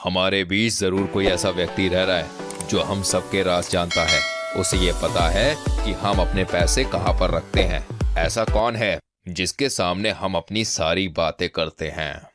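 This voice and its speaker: Deep, grave cartoon elder played by a man. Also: steady tone, measured pace. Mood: suspicious. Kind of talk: Hindi cartoon story character acting. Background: music.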